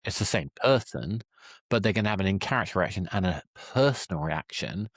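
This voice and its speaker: Man, podcast host, lowish pitch, dry sound, thoughtful, analytical, conversational interview. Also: lively intonation, brisk, no room sound. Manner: thoughtful, analytical, thinks aloud.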